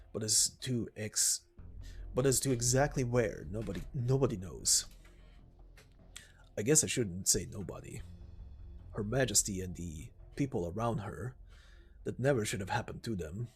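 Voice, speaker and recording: A man voicing a weary, sentimental mercenary leader from a game story, brooding and halting in delivery. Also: lowish voice, a little mellow. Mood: troubled. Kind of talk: amateur game story voice acting. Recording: music behind the voice.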